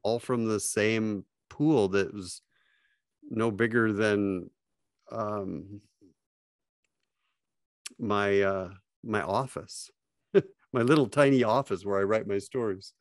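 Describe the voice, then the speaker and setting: Slow, reflective middle-aged man. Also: on the low side, fairly even intonation, no room sound, clean studio sound. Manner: slow, reflective, pauses often. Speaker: podcast guest, fly fishing writer. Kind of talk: casual storytelling. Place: home office with bookshelves, indoors. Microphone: wired earbuds, video call.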